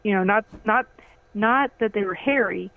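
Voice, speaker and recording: A thin, phone-like connection carries the voice of a woman in her fifties. She is a podcast guest who reminisces casually, plainspoken and teasing, at a middling pitch. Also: moderate pace, gravelly, dry recording. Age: 50s. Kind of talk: casual chat, personal recollection. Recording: thin, phone-like call audio.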